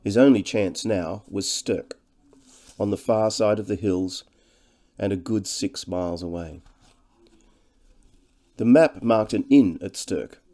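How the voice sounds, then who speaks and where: Male audiobook reader, deep register, deliberate and dramatic delivery of literary prose. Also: mellow. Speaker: audiobook narrator. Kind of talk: scripted literary narration.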